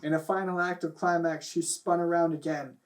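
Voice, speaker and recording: Man reading aloud, slightly high voice. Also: flat delivery, brisk. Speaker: reader of fan fiction. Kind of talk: reading a story aloud, then casual chat.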